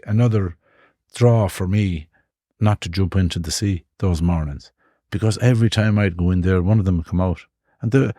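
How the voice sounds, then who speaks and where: A man in his fifties with an Irish accent from Connemara, telling his life story in a reflective, halting way. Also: sits low, dark tone, dry recording, studio quality. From Ireland. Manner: reflective, halting, with frequent restarts. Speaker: guest. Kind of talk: personal life story in conversation.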